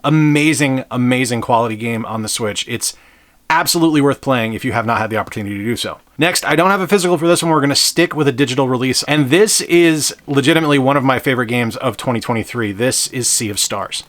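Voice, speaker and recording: Man, game channel host, rapid delivery, upbeat and enthusiastic. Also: mid-range voice, a bit bright. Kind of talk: casual game review talk.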